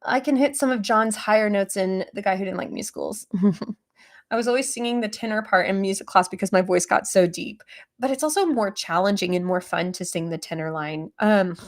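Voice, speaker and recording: A young adult woman chatting casually on a livestream, rambling with many fillers, her voice lower than usual today. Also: brisk. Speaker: streamer answering chat.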